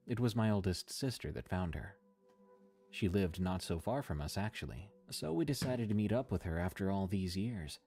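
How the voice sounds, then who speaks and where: A man reading a first-person horror story in a measured, somber scripted narration. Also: low voice, dark tone.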